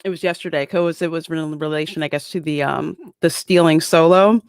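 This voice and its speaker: A woman's voice: low-pitched, fairly even intonation, fairly quick, no room sound. Outspoken woman chatting, dry sound. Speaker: panelist. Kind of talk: casual panel chat.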